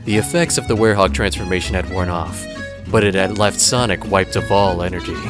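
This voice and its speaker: Male narrator for audio drama: fairly low voice, dramatic scripted read, music bed. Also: brisk.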